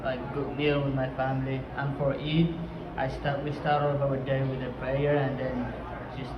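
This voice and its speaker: A man's voice: mid-range voice, flat delivery, moderate pace. A man from Somalia giving a brief, plain introduction. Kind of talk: short self-introduction. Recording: echoey, lo-fi with clear background noise.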